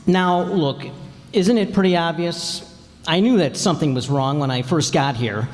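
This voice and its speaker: A man's voice: on the high side, normal speed, close to an everyday voice. Man playing a blunt investigator, echoey hall. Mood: insistent. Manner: blunt, insistent. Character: shrewd, no-nonsense male insurance investigator. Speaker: amateur radio drama player. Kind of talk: old-time radio play acting. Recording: reverberant hall, stage performance.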